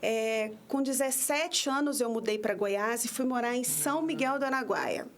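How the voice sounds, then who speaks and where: A woman's voice: fairly high pitch, normal speed. A woman in her fifties from the interior of São Paulo, a prosecutor guesting on a podcast, telling her story in a hesitant way with many restarts. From interior of São Paulo state, Brazil. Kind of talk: conversational interview.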